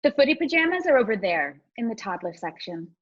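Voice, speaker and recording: A woman's voice: fairly high pitch, sing-song, quick pace, lo-fi sound. A sneering mean teen girl. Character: snobby mean teen girl, queen bee.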